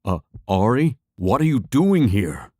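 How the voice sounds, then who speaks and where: Male actor as careful young male adventurer, deep voice, measured, serious, fantasy audio drama, dry sound. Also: big pitch swings, a little mellow, no room sound. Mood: shocked, pleading.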